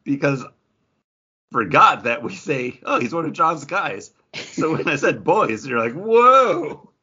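A man's voice: on the high side, sing-song, slow pace, sharp tone, husky, lo-fi sound. A man chatting casually and jokingly as a podcast host. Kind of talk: casual banter on a comics podcast.

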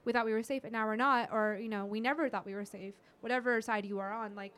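A woman's voice: a little high, fairly even intonation, quick pace, fairly bright tone, smooth. Blunt American woman podcaster. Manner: blunt, swears freely. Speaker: podcast host. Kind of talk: casual opinionated chat.

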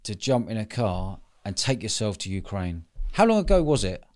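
A man's voice: fairly low pitch, sing-song, moderate pace, darkish tone. British man hosting a casual interview. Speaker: podcast host.

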